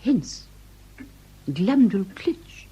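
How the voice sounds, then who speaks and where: An actress playing an anxious, genteel Victorian wife with an English accent and a fluttering, over-polite, halting manner, in period comedy radio drama. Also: female voice, mid-range voice, very animated, dull audio, background noise. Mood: grandly declaiming.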